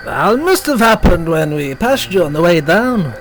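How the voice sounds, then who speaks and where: A man's voice: sits high, sing-song, moderate pace, soft background music. A man playing a brusque, teasing train driver in an audio drama, matter-of-fact in manner. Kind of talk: audio drama character acting.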